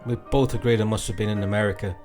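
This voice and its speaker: A man reading a classic novel as an audiobook narrator, in an expressive, dramatic storytelling way. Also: on the low side, fast, faint music behind.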